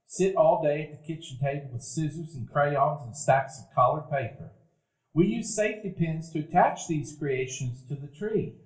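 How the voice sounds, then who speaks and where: A man as a calm reminiscing narrator. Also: a little high, moderate pace, lightly acted. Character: grown Buddy recalling his boyhood. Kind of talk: radio play narration. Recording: roomy live stage recording.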